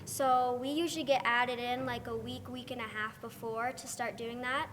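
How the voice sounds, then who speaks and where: A woman's voice: on the high side, fairly even intonation, moderate pace, a bit bright. A teenage female stage technician speaking casually in an interview. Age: teens. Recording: echoing hall, faint background noise.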